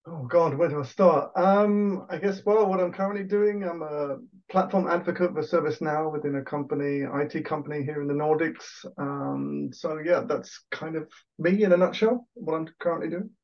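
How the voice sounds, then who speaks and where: A man's voice: a little high, moderate pace, few pauses, muffled recording. An Englishman talking casually as an interview guest on a video call, chatty and self-deprecating with lots of fillers. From England.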